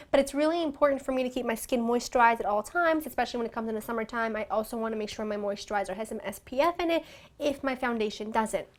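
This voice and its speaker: A woman in her thirties chats her way through a beauty tutorial from a small room at home. Her voice sits a little high and carries a slight rasp from a lingering cold. She talks close to the camera in an easy, gushing way. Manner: chatty, gushing, warm address to viewers. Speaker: beauty vlogger. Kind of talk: casual makeup tutorial.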